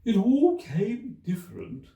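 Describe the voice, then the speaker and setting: Slow, plaintive mock turtle creature. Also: male voice, high voice, wildly swooping pitch, vocal fry, dark tone, voice pushed up, full character voice. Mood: wondering. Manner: slow, drawn-out, plaintive delivery. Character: mournful, easily offended male mock turtle creature. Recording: echoey room, distant mic.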